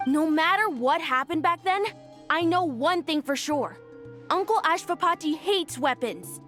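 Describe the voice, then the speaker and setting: A woman's voice: extremely high pitch, animated, a little slow, fairly bright tone, faint music behind, exaggerated voice. A woman voicing a loyal, fiery young child in a video game, with a childlike voice. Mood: upset, defiant. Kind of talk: video game character acting.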